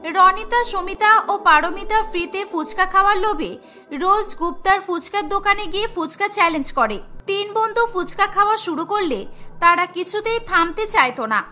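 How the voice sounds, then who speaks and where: A woman's voice: very high voice, pushed and bright. A woman narrating a scripted cartoon story as the storyteller. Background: music.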